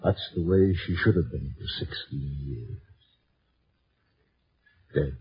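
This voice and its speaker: An actor playing a bitter, defeated husband in a 1950s radio drama, slow, resigned and hesitant. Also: male voice, rumbling low, breathy edge, full character voice. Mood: bitter, weary. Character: bitter, defeated husband caught after attempted murder. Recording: dull, muffled 1950s radio recording.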